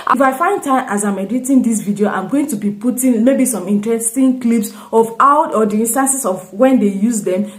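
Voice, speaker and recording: A young Nigerian woman with a fairly high voice talks straight to her viewers in a loose, rambling way. She often restarts her sentences and breaks into laughter. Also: a little mellow. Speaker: vlogger. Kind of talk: casual vlog chat to camera.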